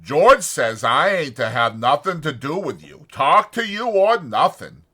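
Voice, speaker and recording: A man voicing a huge, childlike, simple-minded man in an audiobook, slow and plaintive with folksy uneducated speech. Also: fairly high pitch, sing-song, pushed and bright, husky, voice pushed up, full character voice. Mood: sullen, stubborn. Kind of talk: dramatized audiobook character acting.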